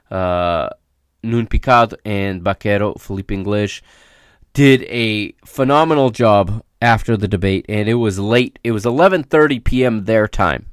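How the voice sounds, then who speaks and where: A man hosting a solo sports podcast, speaking off the cuff in an emphatic, halting way, his voice slightly raspy as it recovers from illness. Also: fairly low pitch, dry recording, clean studio sound. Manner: emphatic and halting, often checks in with "okay?". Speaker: podcast host. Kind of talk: unscripted solo sports commentary.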